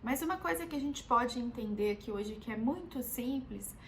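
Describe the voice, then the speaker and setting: High-voiced Brazilian woman doctor explaining. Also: expressive, moderate pace, a little hiss or hum. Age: 30s. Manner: patient and explanatory, often adds "né" to check in. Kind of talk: explanatory health video talk.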